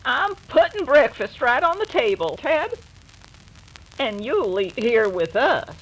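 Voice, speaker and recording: A woman's voice: sits high, big pitch swings, slow, husky, heavily acted, low-quality recording. An actress playing a tender, fretful young frontier woman in an old-time radio western. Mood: warm.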